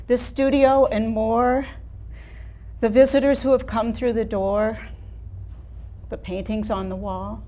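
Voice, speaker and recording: A woman's voice: fairly high pitch, flat delivery, mellow. A woman playing a wistful, ailing artist in her late seventies in a theatrical staged reading. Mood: wistful, sorrowful. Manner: slow, deliberate, poetic delivery with pauses. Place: room of a house, seated before an audience.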